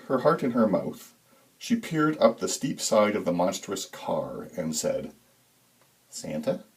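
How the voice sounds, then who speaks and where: A man giving a dramatic, deliberate reading of a scripted story as narrator, with suspenseful, expressive emphasis. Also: lowish voice, low-quality recording. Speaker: author reading own story.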